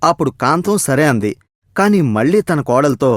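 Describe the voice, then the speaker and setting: A man's voice: on the high side, sing-song, normal speed, dry recording, clean studio sound. A man narrating a scripted moral story as its storyteller.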